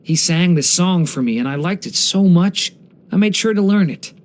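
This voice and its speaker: Game role: young dragon boy in human form. Earnest, polite, gentle storyteller, animated intonation. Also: high voice, normal speed. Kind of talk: English video game character voice lines.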